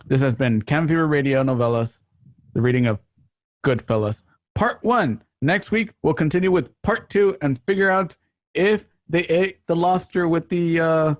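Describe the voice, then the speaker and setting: A man's voice: on the high side, normal speed, dry recording, lo-fi sound. A man hosting an online radio play show, signing off to listeners in a casual, jokey, rambling way over a group call. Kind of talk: casual, chatty sign-off to listeners. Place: remote group call from home.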